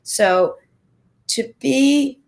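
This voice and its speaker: A woman in her sixties, a doctor on a panel, talking in an energetic, emphatic way. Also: high voice, extremely slow, gravelly. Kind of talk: panel discussion answer.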